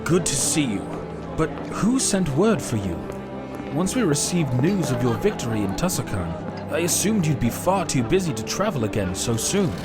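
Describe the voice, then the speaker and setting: A man's voice: on the high side, sing-song, normal speed. A man playing an earnest, courteous commander in a fantasy audio drama. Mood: warm, courteous. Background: loud music.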